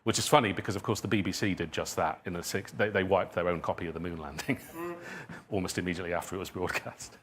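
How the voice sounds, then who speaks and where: A man speaking conversationally at a live talk, answering questions in a halting way, circling back over his phrases. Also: sits low, a bit bright, a little hiss or hum. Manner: halting, circling and repeating phrases, chuckles at own remarks. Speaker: speaker, writer of audio drama. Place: indoor public event venue.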